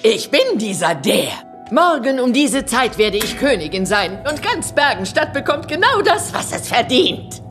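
A woman's voice: a little high, sing-song, measured pace, fairly bright tone, exaggerated voice. A woman voicing a scheming, power-hungry female monster cook in a cartoon, theatrical, grandiose and sly. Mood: gloating. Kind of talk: animated film villain acting. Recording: music behind the voice.

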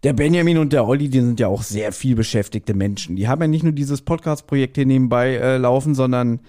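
A man's voice: medium pitch, fast talker, dark tone. A man hosting a podcast in a casual, chatty and self-ironic manner. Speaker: podcast host.

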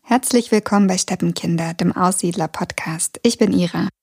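Brief: female podcast co-host, 30s, from Kazakhstan; friendly, lively interviewer. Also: fairly low pitch, moderate pace, husky. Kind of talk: podcast conversation, asking questions.

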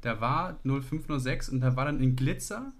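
A man's voice: medium pitch, lively intonation, normal speed. A young man co-hosting a casual podcast, eagerly recalling old memories and blurting out quiz answers. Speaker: podcast co-host, quiz contestant. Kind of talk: casual chat.

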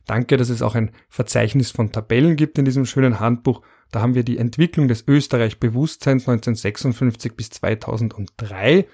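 Male podcast host, mid-pitched, conversational, wry, reads figures aloud and reflects on them. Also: a little fast, hardly any pauses, mellow, slightly rough. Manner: reads out statistics, musing and wry.

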